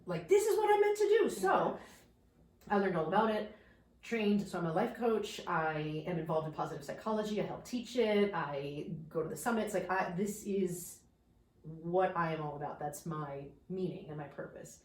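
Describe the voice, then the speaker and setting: A woman's voice: on the low side, normal speed, far from the mic. A woman in her thirties from New York, a life coach, telling her story in a candid, reflective way. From New York suburbs. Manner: candid, reflective, animated at times. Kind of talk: personal storytelling in interview. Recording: echoey room, a bit off-mic, faint noise.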